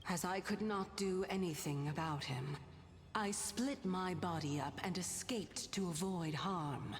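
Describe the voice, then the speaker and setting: A woman voicing a calm, gracious ancient goddess in human disguise, speaking softly in a breathy half-whisper. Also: lowish voice, a little slow, long reverb tail, natural voice. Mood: calm, knowing. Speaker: game character. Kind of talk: video game voice acting. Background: game music.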